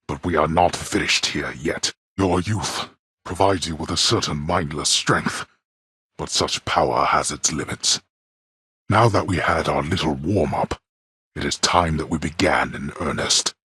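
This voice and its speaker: A man's voice: fairly bright tone, dry recording, full character voice. An actor playing a stern, imperious male god of the underworld who lectures his son in cold, formal speech. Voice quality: very deep, husky and grave. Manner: cold, formal, commanding; bellows when summoning. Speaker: boss character in a video game. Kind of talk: studio game voice acting.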